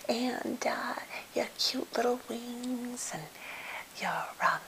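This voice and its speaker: Woman acting a slow, whispering, anxious therapist. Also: on the high side, bright, forceful tone, heavily acted. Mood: gently encouraging. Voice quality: whispered, breathy. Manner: hesitant, halting, fussily polite. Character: anxious, well-meaning, slightly off woman therapist held captive. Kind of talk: ASMR role play. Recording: hissy, noisy home recording.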